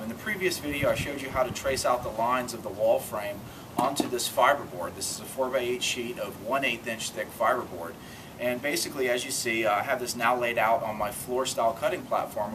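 Man explaining a build outdoors, distant mic. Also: medium pitch. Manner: loose and conversational, many ums and uhs. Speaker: DIY cockpit builder host. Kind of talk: casual how-to demonstration. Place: outdoors by a garage door. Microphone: camera mic from a few metres. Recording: distant camera mic, audible ambient noise.

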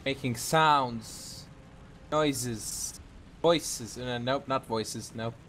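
A man chatting casually with a teammate while gaming, swearing freely in a halting way. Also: medium pitch, a bit bright, slight background noise. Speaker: video game player.

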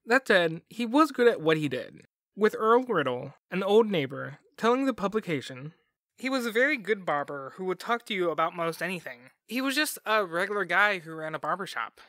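A man's voice: sits high, very animated, moderate pace, sharp tone, no echo. A man hosting a podcast, reading scripted documentary narration in a wry manner. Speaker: podcast host.

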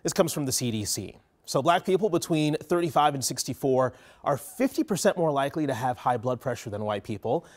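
Male news anchor, upbeat and emphatic, studio lapel mic, medium pitch. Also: animated, fairly quick, a little mellow. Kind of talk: studio news presenting and chat.

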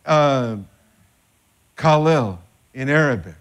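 A man in his fifties preaching a sermon from a church stage, animated and gesturing, his voice rising when excited. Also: medium pitch, slow pace, smooth. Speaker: pastor. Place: church stage with band instruments.

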